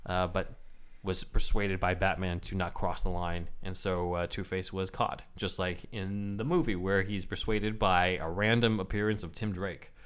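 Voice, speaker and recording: Laid-back man, lowish voice. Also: steady tone, moderate pace, hardly any pauses, fairly bright tone, smooth. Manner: laid-back, full of "uh" fillers. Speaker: podcast co-host. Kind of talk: casual chat.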